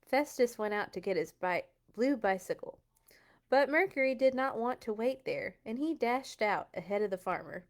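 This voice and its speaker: A woman's voice: a little high, animated, normal speed. A woman hosting a children's read-aloud, speaking informally and chatting in asides to her listeners between passages. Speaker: children's storytime host.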